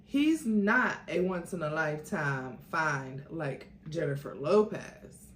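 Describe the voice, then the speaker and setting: Smug, unflappable rich man, played by a female reader, calm and confident, dramatic storybook reading, echoey room. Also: low voice, slow, slight background noise. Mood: smooth, charming. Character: arrogant, unflappable wealthy man, smooth and reassuring.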